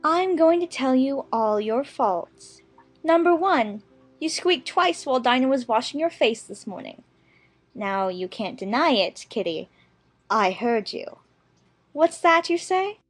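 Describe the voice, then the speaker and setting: A woman playing a lively, chattering little girl who mock-scolds her kitten in a dramatized children's storybook. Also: high-pitched, a little slow, soft background music. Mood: playfully stern.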